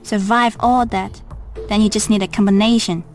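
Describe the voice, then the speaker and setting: A woman's voice: mid-range voice, fairly even intonation, normal speed, soft background music, natural voice. A woman playing a young kidnapped Chinese woman in a video game, pleading yet shrewd, urgent and bargaining. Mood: shrewd, warning.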